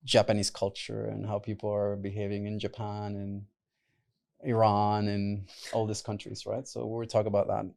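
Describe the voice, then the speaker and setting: A man's voice: lowish voice, completely flat delivery, moderate pace, creaky, darkish tone. A young man from Mexico and the US, whose first language is Spanish, chatting loosely as a podcast guest, full of "like" and "right?" fillers. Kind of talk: casual interview talk.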